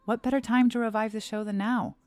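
A young adult woman, a stage actor, reading a scripted personal essay aloud in a measured, earnest, clearly enunciated way. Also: on the high side, fairly even intonation, darkish tone, no echo.

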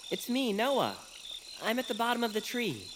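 A timid, supportive male bird with a light voice that swings wildly in pitch. He is hesitant and earnest, with crickets and frogs clearly behind him. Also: very animated, moderate pace, a bit bright, a little hiss or hum, full character voice. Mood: encouraging.

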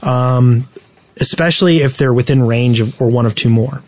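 A man co-hosting a gaming podcast, explaining things casually in a slightly halting way. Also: medium pitch, a little mellow, dry recording. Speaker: podcast co-host.

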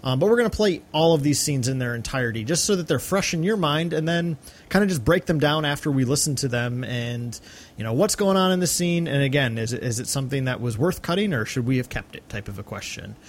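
A man's voice: medium pitch, animated, fast talker, a little hiss or hum. A man co-hosting a podcast, talking casually through a film discussion. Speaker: podcast co-host.

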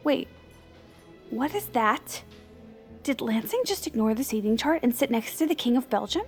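An actress playing an eager, mischievous, gossipy aide in a comedic audio drama, with an excitable, theatrical manner. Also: female voice, a little high, normal speed, soft background music, natural voice. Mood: gleeful.

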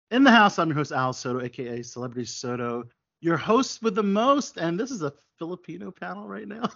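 A man's voice: high voice, a bit bright. A man hosting a casual chat show, talking at length with many fillers and restarts. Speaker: podcast host. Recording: dull lo-fi sound.

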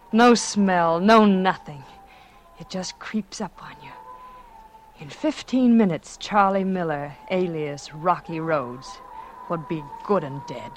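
An actress playing a scheming, cold-blooded former actress turned murderess, speaking dramatically in 1940s radio drama style on a vintage recording. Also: female voice, medium pitch, slight background noise, lightly acted. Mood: cold, satisfied. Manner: dramatic, shifts from coy murmurs to tense confiding. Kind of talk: 1940s radio drama acting with confessional narration.

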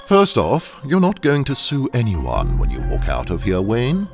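An actor in an audio drama playing a ruthless training engineer who speaks in a deliberate, condescending, hypnotically coaxing way to a captive. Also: male voice, medium pitch, wildly swooping pitch, faint music behind. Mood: controlled, commanding. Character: ruthless, sinister male training engineer, coolly brainwashing a captive.

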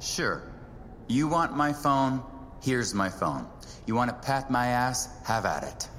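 An actor playing a blunt, wry antiquities appraiser in an audio drama, plain-spoken and defiant. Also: male voice, medium pitch, animated, slow pace, fairly bright tone, long reverb tail, slight background noise.

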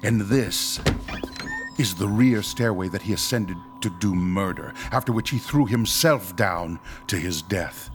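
An actor playing a stern, formal doctor who leads a ghost-hunting team in a radio horror drama, speaking with pedantic precision. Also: male voice, fairly low pitch, a little slow, over music, natural voice. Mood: grave, ominous.

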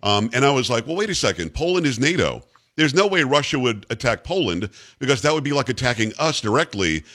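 Male host, mid pitch, lively swooping intonation, enthusiastic, stumbling restarts. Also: brisk. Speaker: talk show host. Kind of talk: radio talk show interview.

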